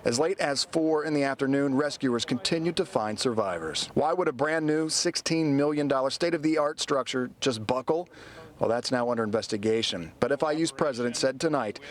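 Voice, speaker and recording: A man's voice: mid-range voice, fairly quick. A middle-aged man reporting for TV news in a live, scripted style. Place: outdoors by a road at night. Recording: clear outdoor street noise behind.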